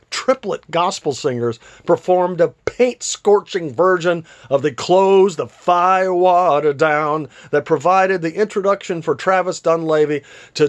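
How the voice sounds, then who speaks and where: A man reading a literary story aloud as an author would, with a theatrical delivery. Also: high voice. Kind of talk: literary read-aloud, scripted narration.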